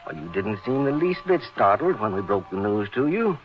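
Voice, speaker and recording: A man's voice: fairly low pitch, big pitch swings, brisk. A man playing a concerned, deferential doctor and loyal colleague, earnest and polite, in old-time radio acting. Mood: puzzled probing. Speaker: actor in a horror radio drama. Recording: muffled old radio drama recording.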